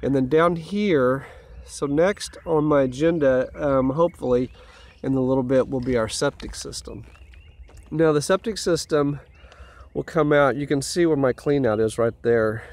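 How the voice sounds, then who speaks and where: A man's voice: mid-range voice, normal speed, a little mellow. A man with a stuffy head cold, casually walking viewers around his house build outdoors, easygoing and rambling. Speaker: homeowner vlogger. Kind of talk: casual site walkthrough.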